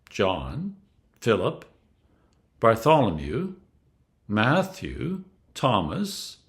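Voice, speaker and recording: A man reading scripture aloud as a clergyman, in a solemn, deliberate style with emphatic stresses. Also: fairly low pitch, a bit bright.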